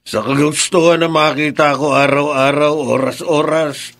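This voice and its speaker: A man's voice: fairly high pitch, little pitch movement, normal speed, a bit bright. A man playing a stubborn, easygoing elderly father in a radio drama, wryly protesting with a Filipino accent. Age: older. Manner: protesting, wheedling, wry. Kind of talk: Tagalog radio drama acting.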